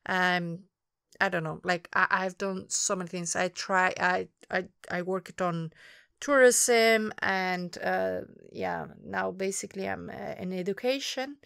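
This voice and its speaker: Spanish-accented woman, smooth and thoughtful. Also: on the low side, expressive, measured pace, fairly bright tone, dry recording, studio quality. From Spain. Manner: thoughtful, searching for words. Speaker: guest interviewer. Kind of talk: reflective conversational interview.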